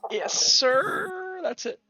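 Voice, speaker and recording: A young man runs a tabletop session over voice chat, his voice high for a man and clipped dry by a close home mic. He talks in loose, rambling bursts full of fillers and restarts, laughing often. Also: animated, very slow, pushed and bright, no echo, faint music behind, rough recording. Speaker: game master. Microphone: home microphone on voice call.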